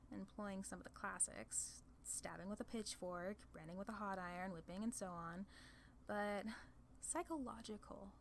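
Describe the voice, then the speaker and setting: A woman's voice: medium pitch, close to an everyday voice. A playful demon queen of hell, played by a woman, talks in a mid-range female voice. She is casual and sardonic, proud and fond of teasing, as in an ASMR audio roleplay. Some noise sits behind the voice.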